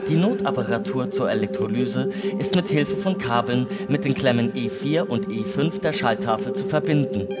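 An actor playing a space station computer that recites emergency instructions formally, as in a radio play. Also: male voice, mid-range voice, lightly acted. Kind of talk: scripted radio-play narration.

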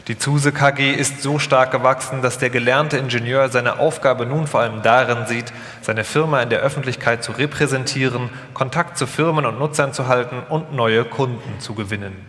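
A man's voice: medium pitch, normal speed, few pauses, a bit bright. A man reading scripted documentary narration live, as the narrator of a radio feature. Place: live stage in a large hall. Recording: echoey hall with long reverb.

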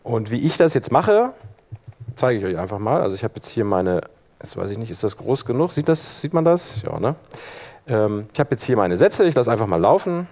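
A man presenting an informal live software workshop, talking casually and thinking aloud as he demonstrates. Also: mid-range voice, sing-song, brisk. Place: live talk in a conference room.

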